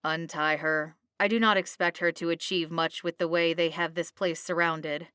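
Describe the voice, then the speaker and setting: A woman's voice: sits low, steady tone, moderate pace, fairly bright tone, clear, resonant tone, no room sound. Woman as a dry, commanding male captain. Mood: dry, sceptical. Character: thin, authoritative male military captain, sceptical and dry.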